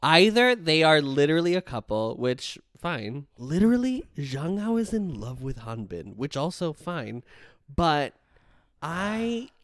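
A man's voice: a little high, sing-song, measured pace, a bit bright, no echo, clean studio sound. A man co-hosting a casual chat show, blunt and sarcastic, swearing freely. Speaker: podcast co-host.

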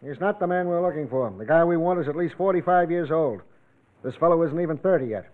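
A man's voice: a little high, fast, natural voice. Casting: male actor for a suspicious, no-nonsense country sheriff, vintage crime radio, narrow phone-like recording. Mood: matter-of-fact. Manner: blunt, clipped, interrogating. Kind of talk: classic radio drama acting.

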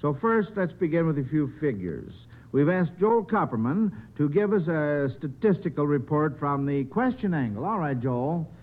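A man's voice: a little high, big pitch swings, normal speed, hardly any pauses. An older American man hosting a 1950s radio show in a folksy, avuncular way with children. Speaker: radio quiz show host. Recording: muffled vintage 1950 radio recording.

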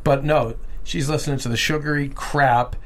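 A man's voice: mid-range voice, fairly even intonation, moderate pace. Emphatic man in casual group discussion. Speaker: panelist on a TV discussion show.

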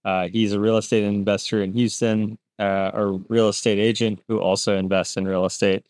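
A man's voice: fairly low pitch, little pitch movement, moderate pace, a little mellow, no room sound, studio quality. A man hosting a casual podcast, introducing his guest and asking friendly questions with a few fillers. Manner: friendly, short prompts, fillers. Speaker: podcast host.

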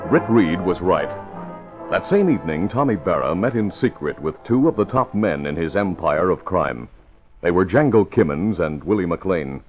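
Male radio narrator, dramatic and very animated, vintage muffled sound with light music behind. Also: low voice, normal speed. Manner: dramatic announcing. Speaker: announcer and narrator. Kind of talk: 1950s radio scripted narration. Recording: dull old radio recording.

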